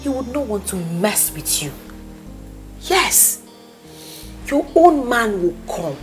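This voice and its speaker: A woman's voice: medium pitch, big pitch swings, slow, soft background music. A woman with a Nigerian accent playing a pushy, caring friend who coaxes and pleads with emphasis. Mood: coaxing. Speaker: consoling friend.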